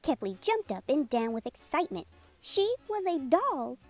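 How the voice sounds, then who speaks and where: A woman reading a children's picture book aloud as a storytime host, in an expressive, unhurried storytelling manner. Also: high-pitched. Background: music playing under the voice.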